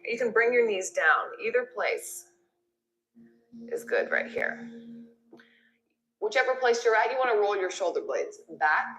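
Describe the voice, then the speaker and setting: A woman's voice: medium pitch, a bit bright, slight background noise. A woman leading a yoga class, giving step-by-step cues in an encouraging, conversational way. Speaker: yoga instructor. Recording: roomy echo with music behind. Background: music.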